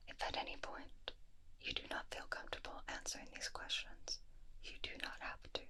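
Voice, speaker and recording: A woman playing a polite, formal keeper of magical creatures in a soft, deliberate ASMR roleplay, close on a binaural mic. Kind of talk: whispered ASMR fantasy roleplay. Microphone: close binaural microphone.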